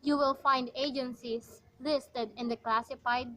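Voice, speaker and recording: A high voice as a switchboard operator in a radio drama, acted by an amateur woman. She stays formal and unruffled, with polite, procedural delivery. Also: fairly even intonation, measured pace, sharp tone. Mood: calm, formal. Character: polite, unhelpful female telephone operator, calm and procedural. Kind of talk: amateur radio play acting.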